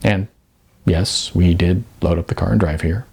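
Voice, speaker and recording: Man acting a hesitant young hopeful, gravelly. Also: deep voice, fairly even intonation, darkish tone, exaggerated voice. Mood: naive, puzzled. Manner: hesitant, with stammers and pauses. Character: naive, eager young male aspiring actor. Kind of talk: audiobook character dialogue.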